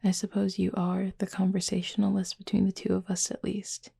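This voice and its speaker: A woman playing a reserved, gently teasing cupid roommate in an intimate audio roleplay, speaking softly and breathily in a dry, measured way. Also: medium pitch, completely flat delivery, creaky, breathy edge. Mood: reserved, thoughtful. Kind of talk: intimate roleplay audio drama, addressing the listener.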